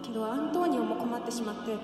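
A woman's voice: on the high side, quick pace, natural voice. A woman playing a pushy, theatrical young Italian noblewoman in a comic radio drama, chatty and emphatic as she hands out advice. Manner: breezy, chatty, emphatic feminine speech. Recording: echoey hall, distant, background music. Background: background music.

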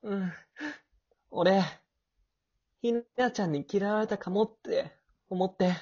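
A woman playing a sweet, clingy, sensitive teenage boy in an amateur audio drama, with a breathy, tender, coaxing delivery. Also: mid-range voice, slow, warm tone. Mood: tearful, relieved. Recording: dull, lo-fi home recording.

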